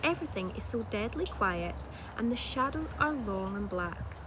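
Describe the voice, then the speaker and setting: A woman narrating a children's storybook like a teacher reading aloud, with measured, dramatic delivery. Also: medium pitch, lively intonation. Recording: clearly noisy home-made recording.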